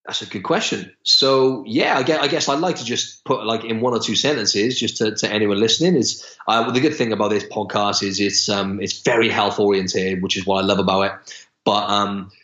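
A man's voice: lowish voice, normal speed, a bit bright. A young man from Wales, a podcast guest chatting casually, full of fillers and restarts. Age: young adult. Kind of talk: casual interview chat. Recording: echoey room, slightly dull sound.

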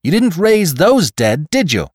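Man playing a logical man, pitched up, insistent. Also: sing-song, measured pace, dry recording, studio quality. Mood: urgent reasoning. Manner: insistent, articulate reasoning. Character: logical, reasonable man pleading for his life. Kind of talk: dramatic storybook narration.

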